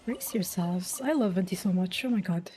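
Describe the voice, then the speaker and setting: A woman's voice: on the low side, moderate pace, mellow. A young woman doing casual gameplay commentary, thinking aloud in an informal way. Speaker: game streamer.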